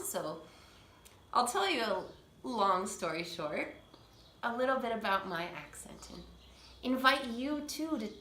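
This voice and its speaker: A woman's voice: fairly high pitch, fairly bright tone. A woman in her thirties, a language coach, speaking her mind earnestly in a personal vlog and restarting as she goes. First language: English. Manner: earnest, talks through restarts. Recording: echoey room, faint ambience.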